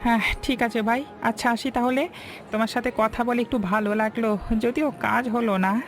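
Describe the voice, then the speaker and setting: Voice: medium pitch, fairly even intonation, brisk, lightly acted. A voice actor playing a poor, ashamed village father in a cartoon story, speaking in a pleading, apologetic way. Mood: resigned, ashamed.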